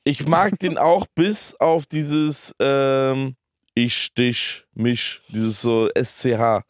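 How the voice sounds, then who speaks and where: A man's voice: mid-range voice, steady tone, extremely slow, dry recording, studio quality. A young man in casual conversation as a podcast co-host, talking bluntly in youth slang. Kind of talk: casual chat.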